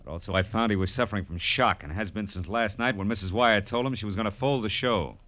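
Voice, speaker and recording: A man's voice: lowish voice, fast, a bit bright, no echo, close to an everyday voice. An American man playing a tough, world-weary private detective in an old radio noir drama, clipped and slangy, narrating and talking bluntly. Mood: brisk, blunt. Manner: clipped, hard-boiled, slangy. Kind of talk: 1940s-50s radio noir acting and first-person narration. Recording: vintage radio recording with music behind.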